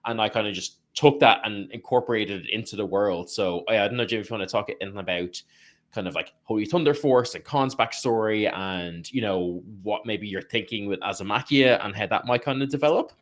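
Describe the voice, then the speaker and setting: A man's voice: on the low side, brisk. A man hosting a podcast, casually reading listener questions and passing them to co-hosts. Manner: lively, reads listener questions. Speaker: podcast host. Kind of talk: casual chat.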